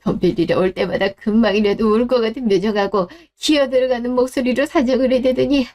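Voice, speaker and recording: A woman's voice: on the high side, darkish tone, voice pushed down, close to an everyday voice. A woman playing an indignant, wounded older mother-in-law in a radio story drama, scolding in an aggrieved, emphatic way.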